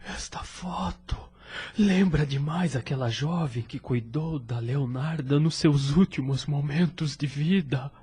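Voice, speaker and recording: A man playing a husky, grieving widower. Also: a little high, slow pace, mellow, exaggerated voice. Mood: grief, shock. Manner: emotional, earnest, plaintive delivery. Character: grieving widower, devoted, despairing, emotionally wounded husband. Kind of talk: melodramatic audio drama acting.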